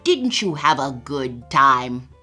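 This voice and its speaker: Storybook grandma rabbit, elderly and wise, high soft coaxing voice, read by a woman, slow and lilting. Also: sing-song, faint music behind, full character voice. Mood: tender.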